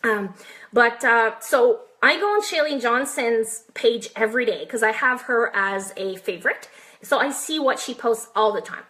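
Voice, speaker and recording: Bright, high-voiced French Canadian woman. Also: lively intonation, normal speed. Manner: chatty and direct, peppers points with "right?" and questions to viewers. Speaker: network marketing coach. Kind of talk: live-streamed coaching talk to viewers.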